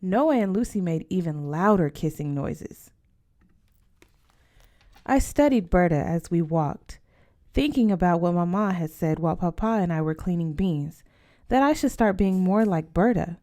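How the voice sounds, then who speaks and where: A woman's voice: lowish voice, lively intonation, measured pace, dark tone. A woman narrating a first-person story as an audiobook reader, in a scripted storytelling style.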